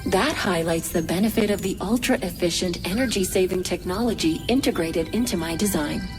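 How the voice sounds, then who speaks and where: A woman's voice: on the low side, normal speed, soft background music, natural voice. A woman voicing a polite, helpful artificial intelligence guide in a video game, formal, precise and obliging. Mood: helpful, composed. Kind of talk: video game cutscene acting.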